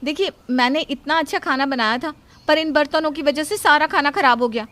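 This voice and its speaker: Lady putting on a young bahu in a moral story, really high whiny voice, always making excuses. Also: fairly quick, fairly bright tone, slight background noise. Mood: defensive. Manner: plaintive, insistent. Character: young daughter-in-law, plaintive, blame-shifting, self-justifying. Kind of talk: acted dialogue in a Hindi moral story.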